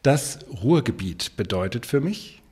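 A male radio host reading a scripted introduction, then interviewing a guest in measured tones. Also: mid-range voice, sing-song, darkish tone, slightly rough. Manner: measured, sentence prompts.

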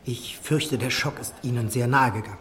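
An actor playing an earnest, restrained Regency naval captain in a radio drama, speaking in a measured, formal manner. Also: male voice, medium pitch, fairly bright tone, lightly acted. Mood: grave, restrained. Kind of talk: German radio drama dialogue.

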